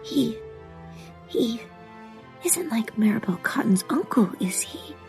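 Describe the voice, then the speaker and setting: A woman's voice: on the high side, lively intonation, slow pace, a little mellow, faint music behind. Woman playing a whispering, anxious little girl. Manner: whispering, agitated, hesitant. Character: prim, anxious little girl, timid and worried. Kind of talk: audiobook drama character reading.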